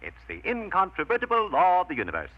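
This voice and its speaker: A man's voice: high voice, huge pitch swings, quick pace, exaggerated voice. A man playing a tipsy, pompous, whimsical photographer who declaims floridly in a vintage radio drama. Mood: grandiose. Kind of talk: 1940s-50s radio comedy-drama acting. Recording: dull vintage radio recording.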